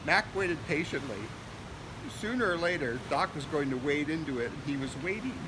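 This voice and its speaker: A man's voice: on the high side, expressive, normal speed. A man reading a novel aloud as a volunteer reader, now and then stumbling over a word. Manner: occasional stumbles over words. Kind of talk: audiobook-style reading of a novel. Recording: noisy outdoor ambience with vehicles.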